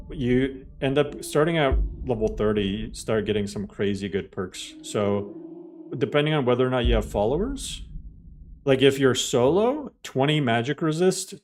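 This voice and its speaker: A man's voice: mid-range voice, a little mellow. Chatty man streaming a game. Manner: loose and chatty, heavy on "like" fillers; explains things step by step to viewers. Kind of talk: casual live-stream chat while playing.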